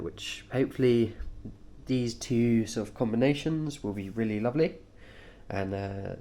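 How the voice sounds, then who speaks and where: A man with a nasal voice, congested from a cold, talking through his cycling kit informally and haltingly, in short offhand phrases. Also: medium pitch, gravelly, darkish tone. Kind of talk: informal vlog, kit walkthrough.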